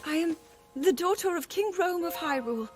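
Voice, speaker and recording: A woman's voice: very high voice, expressive, normal speed. A woman playing an earnest, anxious young princess in a game cutscene, speaking politely with some hesitation. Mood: awed, bewildered. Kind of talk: dramatic video game cutscene acting. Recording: orchestral music behind the voice.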